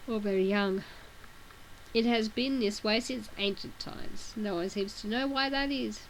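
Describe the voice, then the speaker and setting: A woman's voice: mid-range voice, measured pace, slight background noise, low-quality recording. A woman with a sore throat reading a webcomic aloud, with casual asides between the read text. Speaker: reader of a webcomic.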